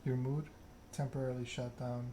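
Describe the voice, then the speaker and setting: Guy doing asmr story narration, pretty even tone, not rushing, noticeable noise behind him. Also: mid-range voice. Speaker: video game streamer reading game text aloud.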